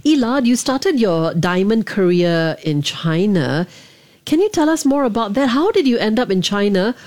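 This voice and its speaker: A woman's voice: medium pitch, very animated, moderate pace, darkish tone. A woman interviewing a guest, asking inquisitive follow-up questions. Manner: inquisitive, prompting follow-ups.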